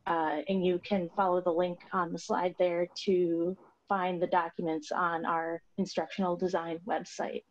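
A woman's voice: lowish voice, level tone, a little slow. A woman presenting her team's project on a video call, explaining steadily with frequent ums and uhs. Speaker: award recipient presenting a project. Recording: slightly dull, lo-fi video call audio.

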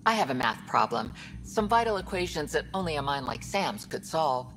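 Game doctor, formal and exact, faint score behind. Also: female voice, low-pitched, sing-song, normal speed, a bit bright, soft background music. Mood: measured. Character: measured female scientist doctor. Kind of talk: video game dialogue.

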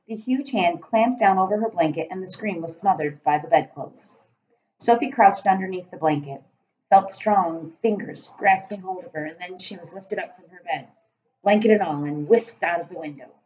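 A middle-aged woman, a teacher, reading a children's storybook aloud with deliberate, expressive phrasing, recorded at home on a laptop. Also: on the low side. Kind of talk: storybook read-aloud narration. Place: small room at home, indoors, beside a kitchen. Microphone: laptop webcam at arm's length. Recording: dull lo-fi webcam sound.

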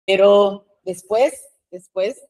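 Slow, hesitant woman from Haiti. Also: medium pitch, a little mellow. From Haiti, raised in Montreal, Canada. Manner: slow, hesitant, frequent fillers and self-corrections, laughs, tag questions. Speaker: professor speaking at a seminar. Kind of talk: informal self-introduction. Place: meeting room, indoors. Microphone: handheld microphone.

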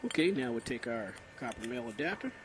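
A man giving a casual how-to lesson on plumbing while he works on a concrete floor, speaking plainly with many pauses. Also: medium pitch, sing-song. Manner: plain, unhurried, many "uh" pauses between terms. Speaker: plumbing instructor. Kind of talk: casual how-to narration over hands-on work. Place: indoors on a concrete floor, working close to the camera. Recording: loud noise behind.

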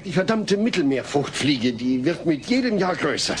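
A man's voice: a little high, very animated, normal speed, slight background noise. An actor playing a nervously polite, easily exasperated family man in a dubbed sitcom audio play, flustered and emphatic.

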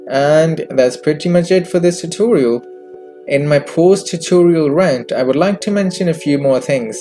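A man presenting a software tutorial in scripted narration, recorded as a close voice-over with music behind him. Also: a little high, normal speed. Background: background music.

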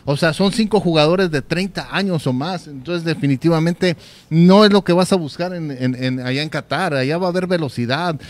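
A man's voice: fairly high pitch, a little fast. A man co-hosting a sports show, giving commentary and analysis into a handheld microphone. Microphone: handheld microphone, masked speaker. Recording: noticeable noise behind the voice.

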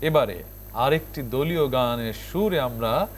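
A man's voice: mid-range voice, a little slow. Male host, Bangladeshi accent, mid-range voice, formal presenter delivery, some background noise. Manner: formal announcer-like address to viewers. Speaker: TV show host. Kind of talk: presenting a children's song programme.

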